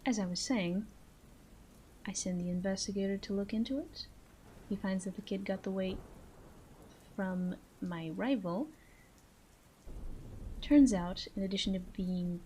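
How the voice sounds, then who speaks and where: A woman playing a flirty, menacing female mob boss in a roleplay audio drama, teasing and deliberate, with breathy asides. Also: medium pitch, dark tone, slight background noise, natural voice, rough recording.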